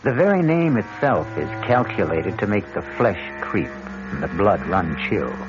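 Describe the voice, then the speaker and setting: Ominous suspense narrator, low animated voice, old radio drama, dull recording, music behind. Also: male voice, normal speed.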